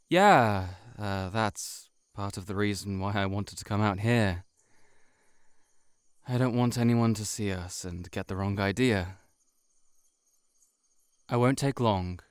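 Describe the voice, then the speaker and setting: A man playing a shy, heartbroken friend in an intimate audio roleplay, confiding haltingly to the listener. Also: lowish voice, a little mellow, clean tone, dry recording, clean studio sound. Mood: awkward, apologetic. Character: shapeshifting monster posing as a shy, heartbroken male friend.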